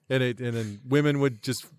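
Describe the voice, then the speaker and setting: A man with a smooth, resonant voice at an ordinary pitch, calmly spinning absurd made-up tales in casual podcast banter. He chuckles at his own jokes, recorded close on a dry mic. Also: normal speed, no room sound. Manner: deadpan tall tales, laughs at own jokes. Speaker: podcast co-host.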